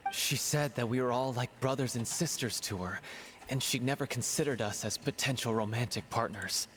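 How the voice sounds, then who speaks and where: A man's voice: mid-range voice, moderate pace, a bit bright, natural voice, low-quality recording. A man playing an earnest, lovelorn young writer in a video game, speaking in a hesitant, heartfelt way. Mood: confessional, bitter.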